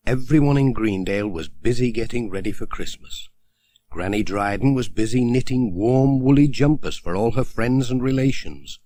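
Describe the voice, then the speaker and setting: A man's voice: mid-range voice, normal speed, dark tone, no echo. A man narrating a children's story from a script, as an audiobook reader.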